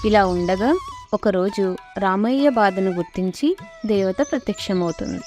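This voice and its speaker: A woman's voice: medium pitch, normal speed, music in the background. A woman narrator reading a scripted moral story for children in a storytelling style.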